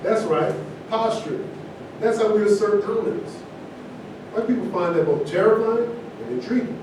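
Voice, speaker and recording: A man's voice: normal speed, lightly acted. A man acting an opinionated, provocative character in a stage play reading, arguing in a declamatory way. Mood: combative. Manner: argumentative, declamatory. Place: echoey room at a live staged reading. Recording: distant mic, very echoey room, noisy rumble.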